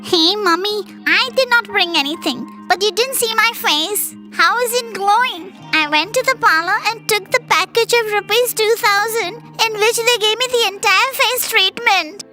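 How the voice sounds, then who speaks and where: A woman as a squeaky, vain young daughter-in-law. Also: normal speed, hardly any pauses. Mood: self-pleased. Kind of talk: dubbed moral-story cartoon dialogue. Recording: music clearly behind the voice.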